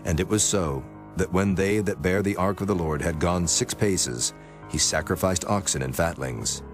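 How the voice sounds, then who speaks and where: Deep-voiced male scripture narrator. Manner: solemn, measured. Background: music.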